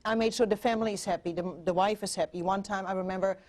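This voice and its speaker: A woman's voice: medium pitch, fairly even intonation, fast, a little mellow. A woman in her forties from Germany with a German accent, a relationship coach talking earnestly as a guest on a TV show. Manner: earnest, with frequent restarts and fillers. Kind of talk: TV interview.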